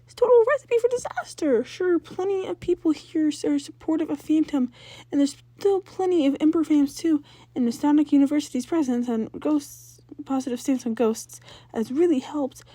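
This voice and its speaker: A woman's voice: high voice, normal speed, warm tone, no echo, pitched above the performer's own voice, lightly acted. A woman voicing a worried, exasperated teenage older sister in a fan fiction reading, emphatic and scolding.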